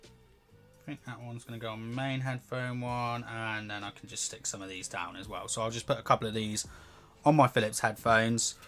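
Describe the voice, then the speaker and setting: A man's voice: medium pitch, fairly even intonation, moderate pace, a bit bright, faint music behind. A young man with a cold and a nasal voice, chatting casually through a product review at his home desk, rambling with plenty of fillers and restarts. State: has a cold, sounds nasal. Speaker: tech reviewer. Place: home desk setup beside a busy street.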